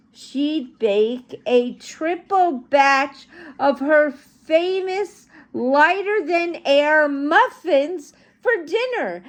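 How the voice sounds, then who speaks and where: A woman with a high voice reads a children's story at a very slow, careful pace. She is an animated storyteller who speaks directly to young listeners and adds chatty asides as she goes. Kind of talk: animated storybook read-aloud.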